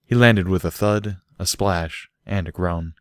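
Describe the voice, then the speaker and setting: A man narrates a story in a low voice, recorded dry and close. He reads scripted prose as an audiobook reader. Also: a little slow, dry recording.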